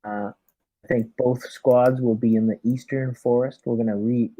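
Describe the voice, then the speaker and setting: A man playing a tabletop roleplaying game as squad leader, giving deliberate, military-style orders. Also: medium pitch, fairly even intonation, warm tone, no echo, rough recording. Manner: deliberate, military briefing phrasing. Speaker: tabletop RPG player leading the squad as lieutenant.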